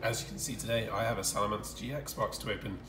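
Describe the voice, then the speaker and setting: A hoarse, croaky man hosting his card-opening channel in casual chat, often clearing his throat and pausing with fillers. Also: lowish voice, reverberant, distant mic. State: croaky, hoarse from screaming at a game. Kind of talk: casual unboxing commentary. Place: indoor room with collectibles on shelves.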